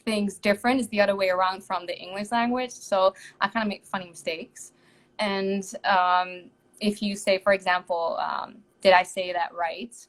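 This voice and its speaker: A woman's voice: medium pitch, fairly bright tone, poor audio. A young woman from the Netherlands with a Dutch accent, a singer chatting casually as a guest in a live-streamed interview, laughing lightly between thoughts.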